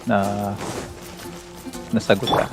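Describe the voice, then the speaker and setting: A man's voice: takes it slowly, poor audio. A man from the Philippines giving casual livestream commentary while playing a game. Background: game music.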